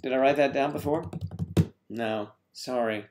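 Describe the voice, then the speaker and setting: A man chats his way through a casual livestream, greeting viewers one after another. He rambles and trips over unfamiliar names. His voice sits at a middle pitch. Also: a little slow, darkish tone.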